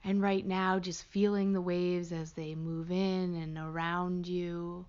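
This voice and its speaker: A woman leading a guided meditation as a teacher, gentle and hushed, with pauses between short phrases. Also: on the low side, flat delivery, smooth, lo-fi sound.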